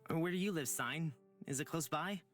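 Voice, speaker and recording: An actor voicing a gentle, hesitant young traveler in a visual novel game, speaking tentatively. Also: mid-range voice, lightly acted. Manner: halting, tentative. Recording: music under the voice.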